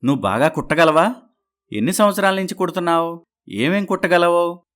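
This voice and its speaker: A man's voice: on the high side, fairly quick, a bit bright, lightly acted. An actor voicing an ordinary man in a dramatised moral story. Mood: businesslike. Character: men around the heroine: doctor, boutique owner, meek husband.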